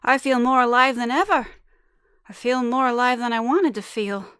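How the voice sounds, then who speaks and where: A woman acting a peppy pitchwoman in a parody of an old-time radio commercial, with exaggerated sales delivery. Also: normal speed, fairly bright tone, smooth, dry recording, clean studio sound, close to an everyday voice. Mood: perky. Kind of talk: parody vintage radio advertisement.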